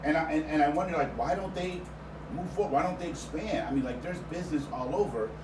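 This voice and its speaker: Noisy distant recording, male interview host, mid-pitched, casual, halting phrasing with repeated words. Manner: halting, repeats words while forming questions. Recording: roomy, distant mic, noisy background.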